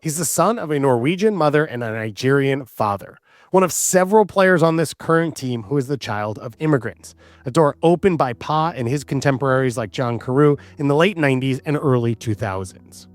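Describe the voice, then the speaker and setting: A man's voice: mid-range voice, expressive, fairly quick, dry recording, clean studio sound. A man narrating a scripted sports documentary as the host.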